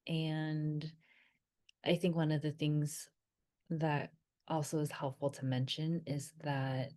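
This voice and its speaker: A woman whose first language was Vietnamese, telling personal memories in a soft, hesitant way and pausing often to search her thoughts. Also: sits low, level tone. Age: adult. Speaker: wellness creator. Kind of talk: reflective personal storytelling.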